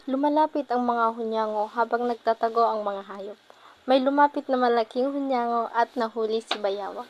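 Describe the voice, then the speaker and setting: A woman's voice: a little high, normal speed, muffled recording. A woman narrating a scripted children's puppet story, with faint noise behind.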